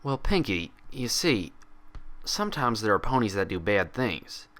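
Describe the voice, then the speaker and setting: A man's voice: on the low side, very animated, measured pace, fairly bright tone. A man voicing a sensible, easily exasperated female pony doctor who explains things in a folksy way. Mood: careful.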